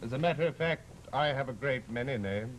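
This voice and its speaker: An actor playing the Devil as a proud, courtly gentleman, mannered and over-polite, with deliberate pauses, in old-time radio horror style. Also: medium pitch, a little hiss or hum, natural voice, poor audio. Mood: smoothly menacing. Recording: vintage radio drama, music behind.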